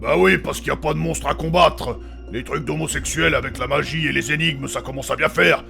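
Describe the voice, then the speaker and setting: A man playing a crude, grumpy fighter with a gravelly voice and a blunt, deadpan manner in a comedic fantasy audio drama. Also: a little high, a little fast, faint music behind, full character voice. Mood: grumpy, bored. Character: crude, grumpy male fighter, bored by riddles.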